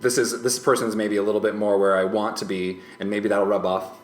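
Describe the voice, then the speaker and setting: Male podcast guest, low-pitched, hesitant and reflective, roomy recording. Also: flat delivery, brisk. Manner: hesitant, tentative, rambling with hedged phrasing. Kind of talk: casual reflective chat. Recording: roomy echo, faint background noise.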